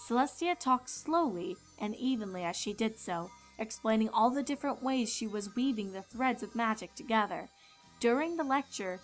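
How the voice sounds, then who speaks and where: A woman's voice: fairly high pitch, rough recording. A woman reading fan fiction aloud as a storybook narrator.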